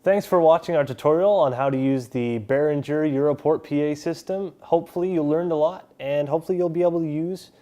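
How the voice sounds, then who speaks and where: A young adult man presenting an instructional tutorial, explaining step by step in a measured way. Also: a little high, dark tone. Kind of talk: instructional narration of an equipment tutorial.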